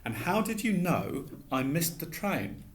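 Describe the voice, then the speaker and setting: A man's voice: a little high, very animated, unhurried, pitched above the performer's own voice. A man voicing a hurt and irritable schoolboy in a plain, earnest tone.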